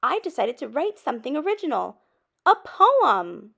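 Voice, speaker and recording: Woman playing young cookie schoolchild, storybook, eager, anxious, exclamatory, lifted pitch. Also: sing-song, normal speed, gravelly, sharp tone, heavily acted. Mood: excited. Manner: exclamatory, emphatic.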